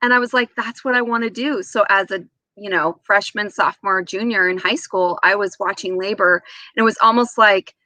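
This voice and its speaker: Bright-voiced woman telling personal stories. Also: mid-range voice, normal speed, no room sound. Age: 40s. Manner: animated, acts out remembered dialogue. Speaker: interview guest, nurse. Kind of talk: casual anecdotal storytelling.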